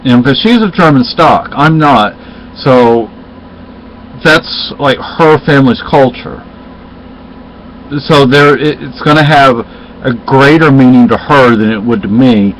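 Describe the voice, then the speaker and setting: A man's voice: medium pitch, fairly bright tone, a little hiss or hum. Rambling middle-aged man. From Spain. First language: Spanish. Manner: rambling, halting, with many restarts. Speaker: storyteller in casual conversation. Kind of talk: casual chat. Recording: dull lo-fi recording.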